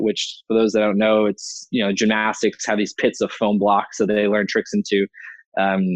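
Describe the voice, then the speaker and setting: A man's voice: fairly low pitch, no echo. An American man in his thirties telling a personal story as an interview guest in a conversational way, acting out quoted dialogue. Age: 30s. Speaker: interview guest, BMX athlete. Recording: dull, lo-fi audio.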